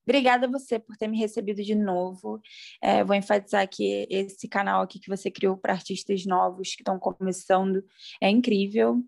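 Woman singer as guest, mid pitch, gravelly fry, friendly. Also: fairly even intonation, moderate pace, darkish tone. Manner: friendly, grateful. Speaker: guest artist. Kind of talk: casual chat.

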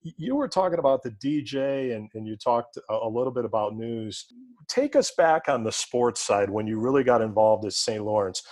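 A man's voice: mid-range voice, animated, moderate pace, a little mellow, no room sound. A man hosting a podcast, speaking in emphatic, motivational phrases as he presents and asks questions. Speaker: podcast host. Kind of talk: podcast monologue and interviewing.

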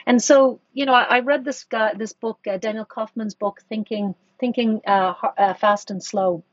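Husky-voiced woman, podcast guest. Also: fairly high pitch, fairly even intonation, moderate pace, no echo, highs cut off. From Ireland. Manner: thoughtful, many restarts and fillers. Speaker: guest, corporate executive. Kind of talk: conversational podcast interview.

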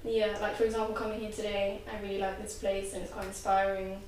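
A woman's voice: mid-range voice, completely flat delivery, fast, a little mellow. A sixteen-year-old young woman, giving casual answers in an interview. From North West London. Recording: very echoey, distant, faint noise.

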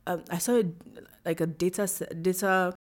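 Earnest woman founder, lowish voice. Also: normal speed. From Nigeria and the US. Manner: earnest, animated, many restarts. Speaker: startup founder, guest. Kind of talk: interview conversation.

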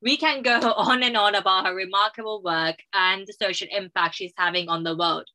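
A woman's voice: mid-range voice, fairly even intonation, normal speed, a little hiss or hum. A woman co-hosting an interview, introducing the guest and asking questions with enthusiasm. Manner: enthusiastic, warm praise. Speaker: co-host.

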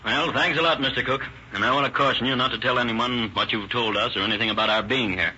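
An actor playing a shrewd, skeptical police detective, crisp and authoritative in his questioning, in an old radio drama. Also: mid-range voice, fast, sharp tone. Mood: businesslike. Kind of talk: 1940s radio acting. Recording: old lo-fi radio recording with hiss.

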